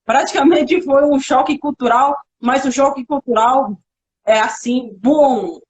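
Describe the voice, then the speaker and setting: A young woman from the Xingu, a native Kalapalo speaker, talking in Portuguese as a guest on a live video call, thoughtful and halting with restarts. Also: high-pitched, darkish tone. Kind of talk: casual live interview.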